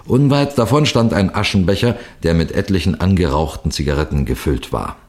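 A man playing a seasoned, composed police detective who narrates a 1960s radio crime drama in a measured, precise, formal way. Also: deep voice, lively intonation, darkish tone. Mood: grave, suspenseful. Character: seasoned male police detective telling his cases, composed, authoritative.

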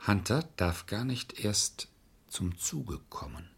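An actor in a 1970s German radio drama playing a shrewd, controlled male defence lawyer, formal, deliberately emphatic and slightly husky. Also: fairly low pitch, lively intonation, slight background noise, heavily acted. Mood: urgent, calculating.

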